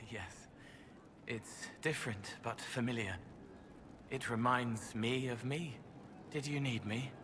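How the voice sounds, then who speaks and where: An actor playing a gentle, otherworldly young man in a video game, speaking in dreamy, halting, poetic fragments. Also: mid-range voice, noisy background, close to an everyday voice. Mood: soft, pleased. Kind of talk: video game dialogue acting.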